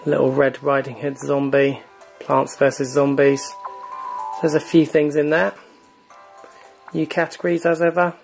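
Guy casually clicking through a game shop menu, um-ing a lot, bit of gravel in his voice. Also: fairly high pitch, measured pace, soft background music. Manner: relaxed, filler-heavy, chatty. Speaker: gaming channel host. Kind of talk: casual screen walkthrough.

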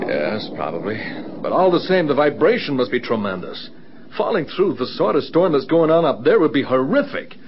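A man's voice: mid-range voice, very animated, normal speed, lightly acted. A man playing an earnest control tower officer in a radio drama. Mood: earnest, awed. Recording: dull, noisy vintage radio recording.

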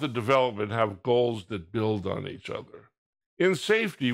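A man in his sixties giving a prepared video keynote, explaining in a measured, deliberate way with pauses. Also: lowish voice, vocal fry. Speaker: keynote speaker. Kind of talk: prepared presentation to camera. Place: indoors, recorded video presentation.

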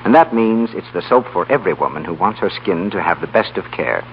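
Deep-voiced American radio announcer man. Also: lively intonation, brisk. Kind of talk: scripted 1930s radio announcing and advertising. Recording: muffled 1930s radio broadcast, faint hum.

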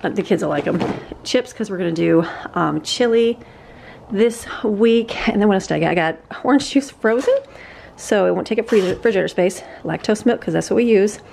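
A woman's voice: mid-range voice, a little fast, vocal fry, background noise. A woman in her forties chatting casually through a grocery haul on a handheld camera, laughing often and rambling. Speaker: family vlogger. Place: outdoors on a porch, earlier indoors at a kitchen counter.

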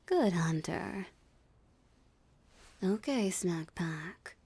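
A woman's voice: lowish voice, expressive, very slow, creaky, noisy background, exaggerated voice. A woman voicing a possessive, mocking female vampire in an ASMR roleplay, with a teasing, theatrically smug drawl. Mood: gloating, commanding. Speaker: voice actor. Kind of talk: ASMR roleplay audio drama.

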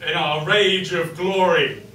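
A man's voice: fairly high pitch, measured pace. A man improvising a comic story in character on a small live stage. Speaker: improv comedy performer. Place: small comedy club stage. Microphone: distant camera. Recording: echoey, noisy room recorded from far off.

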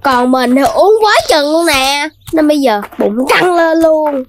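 Animal child for animation: piping very high, cheeky, playful, childlike. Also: female voice, lively intonation, a little slow, a little hiss or hum. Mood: carefree. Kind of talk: children's cartoon voice acting.

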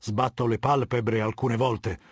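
A husky middle-aged game warlord, cold and bossy, super dry close sound. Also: male voice, medium pitch, level tone, dry recording. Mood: measured, stern. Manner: authoritative, measured, imperious. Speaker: voice actor. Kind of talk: video game dubbing.

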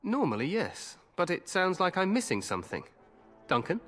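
A man's voice: high-pitched, wildly swooping pitch, normal speed, a bit bright, long reverb tail, heavily acted. An actor playing a young, overconfident, boastful king eager for glorious war in a fantasy video game. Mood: regal, curious. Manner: breezy, boastful, regal.

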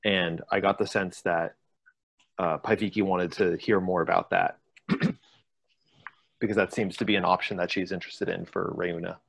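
Man, low voice, dry sound, matter-of-fact, reading notes. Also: flat delivery, normal speed, vocal fry, pauses often, fairly bright tone, slightly rough, dry recording. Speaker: player. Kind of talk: casual talk at a tabletop game.

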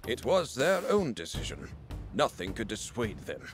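An actor playing a grizzled, resolute old resistance leader in a video game, solemn and emphatic. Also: male voice, fairly low pitch, huge pitch swings, moderate pace, faint music behind. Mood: solemn resolve. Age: older. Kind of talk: dramatic video game cutscene acting.

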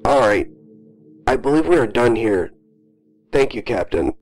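Male actor as composed, methodical official debriefer, medium register, audio drama over clear background music. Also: medium pitch, big pitch swings, a little mellow. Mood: calm, clinical. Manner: measured, formal, probing. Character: calm, clinical male interviewer debriefing a soldier. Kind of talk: audio drama, formal interview log.

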